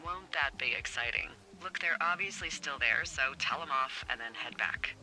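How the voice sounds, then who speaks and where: A teasing, sarcastic American supervisor heard over a radio in a game. Her voice is sharp and bright with lively swings in pitch, and music plays softly behind. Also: moderate pace, full character voice, poor audio. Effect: radio filter. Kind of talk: naturalistic game voice acting.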